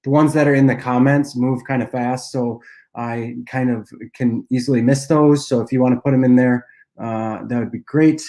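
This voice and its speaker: A young man with a nasal voice from a cold, casually answering viewer questions on a livestream and often clearing his throat. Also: medium pitch, moderate pace. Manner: relaxed, loose delivery with frequent uhs and throat clearing. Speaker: app cofounder and dietitian hosting a Q&A livestream.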